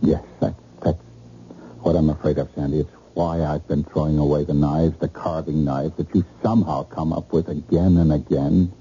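An actor playing a troubled, evasive boyfriend in an old-time radio drama, speaking in a halting, uneasy manner. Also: male voice, extremely low pitch, little pitch movement, warm tone. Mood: haunted, fearful. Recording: muffled noisy old radio recording.

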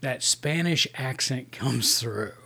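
A man's voice: medium pitch, lively intonation, slow, gravelly, bright, forceful tone, husky. A man co-hosting a casual movie review podcast, easygoing and agreeable, often trailing off mid-sentence. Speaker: podcast co-host; works in the film industry.

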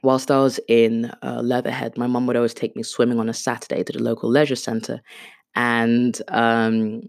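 Chatty, gravelly-voiced woman from Kenya. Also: very deep voice, flat delivery, normal speed, darkish tone, no echo, studio quality. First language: Swahili. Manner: rambling, chatty, self-deprecating with many fillers. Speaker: podcast host. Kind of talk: unplanned casual monologue.

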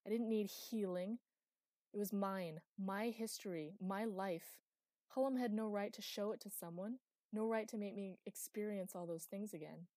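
A man's voice: high-pitched, moderate pace, a little mellow, dry recording, natural voice. A woman reading aloud, voicing an angry proud male mage who snarls and growls his threats. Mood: bitter.

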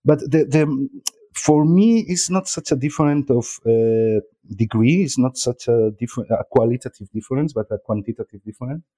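A man's voice: medium pitch, moderate pace, mellow. A man with a Spanish accent, an author and journalist, talking through his work in an interview with frequent hesitations and repeated words. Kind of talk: interview conversation.

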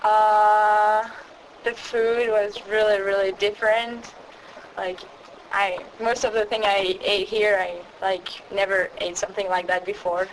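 A woman's voice: mid-range voice, level tone, unhurried, a little hiss or hum, poor audio. A teenage girl from Belgium, answering hesitantly as she searches for English words. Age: teens. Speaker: exchange student guest.

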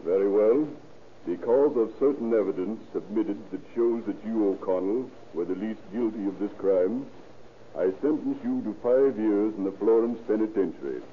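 Actor as stern lawman, low voice, authoritative, old radio drama, thin hissy recording. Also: phone-quality sound, close to an everyday voice. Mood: stern, solemn. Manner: grave, formal, deliberate. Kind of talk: 1930s radio acting.

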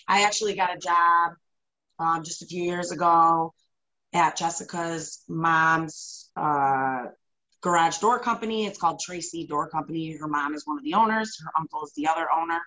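Woman, 30s, upstate New York, even matter-of-fact guest, low register. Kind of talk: casual interview chat. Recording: dull lo-fi sound.

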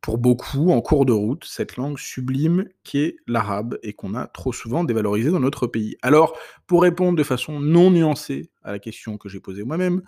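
A middle-aged man hosting a podcast, delivering an opinion monologue in a measured, emphatic way with frequent hesitant pauses. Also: mid-range voice, lively intonation, dark tone. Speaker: podcast host.

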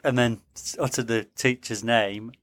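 A man's voice: medium pitch, fairly even intonation, moderate pace, fairly bright tone. A man co-hosting a podcast from a remote link, trading casual banter and dry jokes. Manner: dry, joking asides. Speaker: podcast co-host and teacher. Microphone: remote connection.

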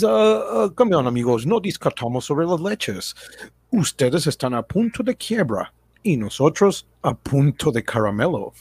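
Hombre haciendo de empresario gringo descarado e insistente. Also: male voice, medium pitch, a little mellow, husky, no room sound. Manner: wheedling, peppered with English interjections. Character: breezy, pushy American businessman, mixing English into Spanish. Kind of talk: radio drama acting.

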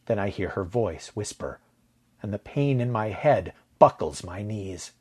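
A man with a husky voice narrating a story in a dramatic, deliberate way, letting phrase endings drop to a hush. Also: on the low side, animated. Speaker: audio fiction narrator.

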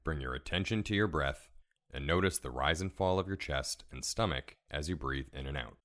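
A man leading a guided relaxation meditation in a calm, soothing, measured way, giving gentle step-by-step instructions. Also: a bass voice. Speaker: meditation guide, podcast host.

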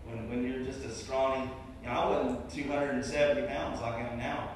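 A man's voice: medium pitch, level tone, a little fast. A young man giving a casual, rambling testimony as a guest preacher, restarting his sentences and joking at his own expense. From Arkansas. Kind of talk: testimony sermon to a congregation. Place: large church sanctuary. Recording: very echoey hall, distant mic, faint room noise.

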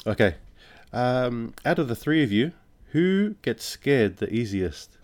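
A man hosting a casual family quiz podcast, asking questions in an unhurried way with fillers. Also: mid-range voice, big pitch swings, a little mellow.

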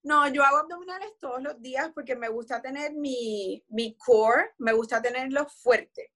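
A woman, explaining her routine in a relaxed, conversational way full of fillers. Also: sits high, normal speed. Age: 40s. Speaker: guest. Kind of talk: casual conversation about fitness.

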